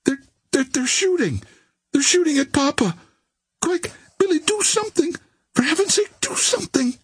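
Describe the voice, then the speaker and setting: A man's voice: mid-range voice, huge pitch swings, normal speed, a little mellow, husky, no echo, pitched above the performer's own voice, exaggerated voice. A man voicing a petulant, easily alarmed young society woman in an audiobook. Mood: panicked. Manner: sweetly sarcastic, pleading, exclaiming.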